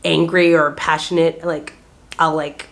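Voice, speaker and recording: A woman's voice: low voice, little pitch movement, unhurried, gravelly. A woman in her thirties with a general American accent and a faint Boston lilt, rambling casually to camera with lots of "like"s. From Boston area, United States. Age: 30s. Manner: chatty, rambling, many "like"s, laughs often. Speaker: vlogger.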